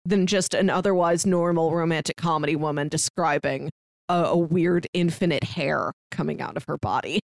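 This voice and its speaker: A woman co-hosting a podcast in casual chat, retelling stories in an animated, acted-out way. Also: deep voice, normal speed, vocal fry, dry recording, studio quality. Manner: animated storyteller who acts out quoted dialogue. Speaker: podcast co-host.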